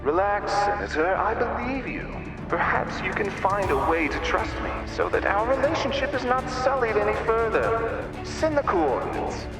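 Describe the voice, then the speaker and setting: Smug sly alien schemer for a game, higher voice, taunting drawn-out phrasing, music under it. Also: male voice, lively intonation. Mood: mocking. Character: sly, smug male alien blackmailer, theatrically refined. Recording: roomy echo with music underneath.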